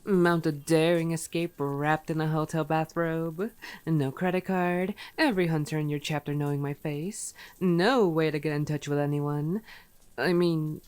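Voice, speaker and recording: A woman's voice: sits low, fairly even intonation, measured pace, darkish tone, smooth. A woman playing a sarcastic, teasing female vampire in an ASMR roleplay audio drama, playful and exasperated. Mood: wry.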